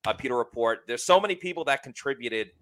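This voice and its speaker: A man's voice: mid-range voice, animated, quick pace, a bit bright. A man hosting a sports podcast, making friendly casual announcements with filler words. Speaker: podcast host.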